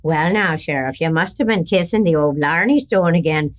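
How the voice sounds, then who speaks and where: A woman's voice: sits low, normal speed, close to an everyday voice. A woman playing a jolly, teasing Irish cafe owner in an Old West radio drama, bantering with a lilting Irish accent. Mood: cheery, teasing. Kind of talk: old-time western radio play acting.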